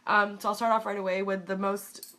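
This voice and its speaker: A woman's voice: mid-range voice, little pitch movement, brisk, pushed and bright. A woman in her twenties from the United States, stuffy from a cold, talking bluntly and casually and swearing freely in a vlog. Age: 20s. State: congested from a cold. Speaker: vlogger.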